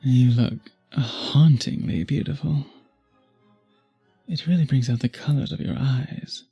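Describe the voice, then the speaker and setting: A charming, predatory vampire, played by a man, murmurs slowly and teasingly in an intimate ASMR roleplay. The voice is very breathy and husky, often slipping toward a whisper, with music playing faintly behind it. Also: mid-range voice, mellow, dull audio, full character voice. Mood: tender, suave. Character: charming, seductive male vampire, flirtatious and predatory.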